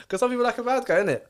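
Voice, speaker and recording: Male podcast guest, rapid animated storyteller. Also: very high-pitched, clear, resonant tone. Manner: animated storytelling, London slang. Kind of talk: casual chat.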